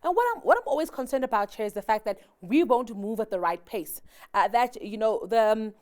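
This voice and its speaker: A woman's voice: fairly high pitch, expressive, a little fast, a little mellow. A woman interviewing a guest on a business TV channel, framing long thoughtful questions with some hesitation.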